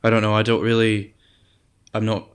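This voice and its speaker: A young man with a soft, gentle voice, talking as a guest in a casual interview. He speaks low and with little rise and fall in pitch. He pauses often and fills the gaps with ums and restarts. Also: clear, resonant tone. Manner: relaxed, hesitant, many ums and restarts. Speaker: guest musician.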